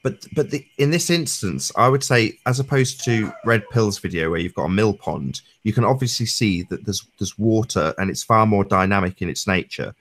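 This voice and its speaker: A man's voice: fairly low pitch, lively intonation, moderate pace, few pauses. A man on a discussion panel, speaking emphatically in wordy, technical phrases during an informal debate.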